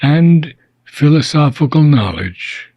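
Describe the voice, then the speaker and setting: Male audiobook reader, husky and unhurried, solemn and deliberate delivery of philosophical text with measured phrasing. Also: on the high side, expressive, darkish tone, no room sound, dull audio. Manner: measured, deliberate, with frequent pauses between phrases.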